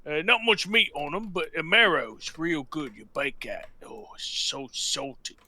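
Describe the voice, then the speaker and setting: A man's voice: on the high side, sing-song, a little slow, bright, forceful tone, full character voice. A man voicing a cheerfully grisly Scottish cook in a tabletop game, folksy and savoring his words. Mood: grisly relish. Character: male Scottish sewer cook who butchers goblins, cheerfully grisly.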